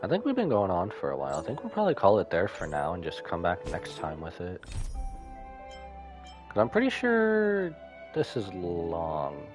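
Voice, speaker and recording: A man's voice: deep voice, huge pitch swings, normal speed. A man livestreaming a game, casually thinking aloud as he comments. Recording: game music behind the voice. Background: game music.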